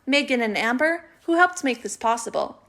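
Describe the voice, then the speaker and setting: A woman with a high voice presents a radio showcase. She reads a scripted outro to the listeners. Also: animated, normal speed, a bit bright.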